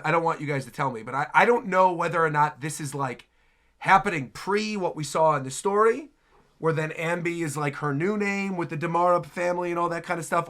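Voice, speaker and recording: Bright, high-voiced man reacting casually. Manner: rambling, thinking aloud. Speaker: reaction streamer, host. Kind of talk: casual live reaction commentary.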